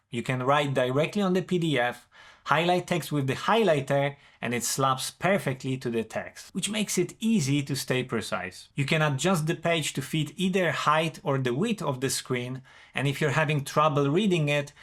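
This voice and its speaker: A man's voice: mid-range voice, fairly bright tone. Man reviewing tech. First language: Polish. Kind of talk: scripted product review narration.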